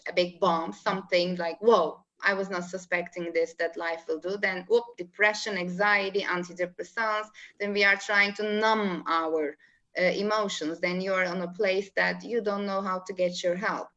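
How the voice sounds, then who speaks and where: A woman's voice: fairly low pitch, fairly even intonation, moderate pace, a bit bright. Turkish-accented woman on dull call audio. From Istanbul, Turkey. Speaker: spiritual coach, interview guest. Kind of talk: explaining in a live online interview. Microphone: video call.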